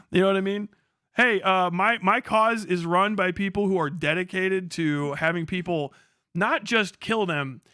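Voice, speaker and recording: A man's voice: high-pitched, sharp tone, dry recording, studio quality. A man hosting a livestream, ranting casually and reading chat with profane sarcasm, often acting out mock exchanges. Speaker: livestream host.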